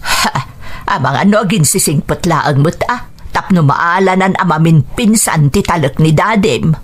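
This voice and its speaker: A woman's voice: on the low side, animated, moderate pace, slight background noise, close to an everyday voice. A woman playing a disapproving, suspicious grandmother in an Ilocano radio drama.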